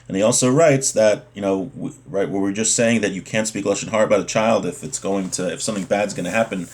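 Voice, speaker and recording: A man with a fairly low voice teaches in an informal, explanatory way. He works through a religious text phrase by phrase, restating each point in simpler words as a teacher would. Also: fast. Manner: explanatory, repeats and rephrases points. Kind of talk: informal text lesson, reading Hebrew and explaining it in English.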